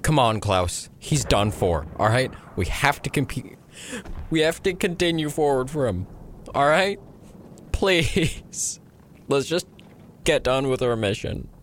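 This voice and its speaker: A hard-pressed soldier played by a man presses his comrades with insistence. His pitch swings widely and his manner is urgent and practical, fit for a war tale. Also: lively intonation, unhurried, hardly any pauses, slight background noise. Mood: grimly insistent. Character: hard-pressed, pragmatic male soldier rushing comrades along.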